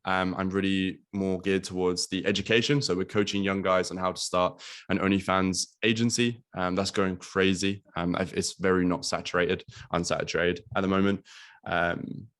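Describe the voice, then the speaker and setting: Young man from Latvia, low voice, casual video call talk, swears and says um a lot. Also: flat delivery, normal speed, a bit bright. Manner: casual, swears, lots of ums and likes. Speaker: guest business coach.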